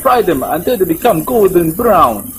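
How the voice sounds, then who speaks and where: A man's voice: sits high, very animated, moderate pace, noisy background. A man hosting a casual recipe tutorial, chatty with simple phrasing and playful sing-song repeats. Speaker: cooking show host. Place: small indoor room.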